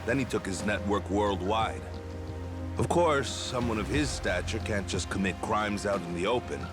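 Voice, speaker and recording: Man playing a low-voiced knowing treasure hunter. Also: moderate pace, over music, lightly acted. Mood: storytelling. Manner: teasing, storytelling, informal. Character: knowing male treasure hunter sharing rumors, smooth-talking. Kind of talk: English video game dub acting.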